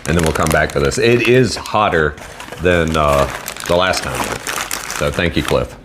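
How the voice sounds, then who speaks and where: A man with a Southern American accent casually reviewing food on camera in a relaxed, rambling way. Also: a bass voice, a little slow, noisy background. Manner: laid-back, rambling, self-deprecating. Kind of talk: casual solo video review.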